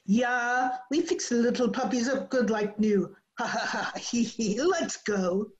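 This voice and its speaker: A woman's voice: fairly high pitch, a little slow, lightly acted. A woman playing a brusque, odd dog doctor with stagey, clipped phrasing in an old-time radio comedy, on a muffled video call. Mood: jolly, shady. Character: brusque, cackling quack dog vet Doctor Spitz.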